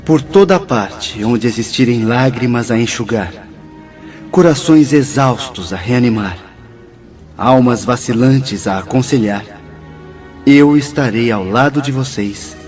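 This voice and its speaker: An actor with a Brazilian accent playing a loving, solemn spirit teacher who preaches in a radio drama. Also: male voice, mid-range voice, animated, measured pace, darkish tone, natural voice. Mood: tender solemnity. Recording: dull lo-fi track with music.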